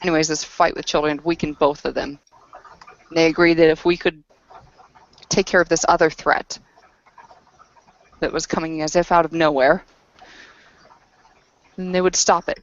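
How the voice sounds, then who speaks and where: A woman playing a battle-worn werewolf woman who speaks in a terse, grave, halting storytelling manner in a tabletop roleplay. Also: low voice, fairly even intonation, highs cut off. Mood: grim, halting.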